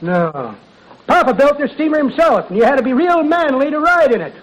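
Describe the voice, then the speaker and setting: High-pitched, folksy old codger. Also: male voice, sing-song, brisk. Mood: gleeful storytelling. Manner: folksy, drawling tall-tale teller. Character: spry, folksy, joking old-timer, man. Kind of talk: 1950s radio comedy acting. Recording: old noisy radio recording, studio audience.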